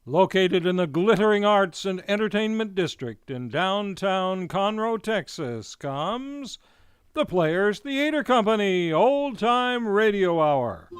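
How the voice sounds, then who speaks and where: A man's voice: high voice, animated, slow pace, clear, resonant tone, dry recording. Theatrical male radio announcer, close and dry. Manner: theatrical, formal, emphatic announcer delivery. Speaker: radio show host and announcer. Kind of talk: scripted radio announcer narration, old-time radio hosting. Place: radio studio, live broadcast.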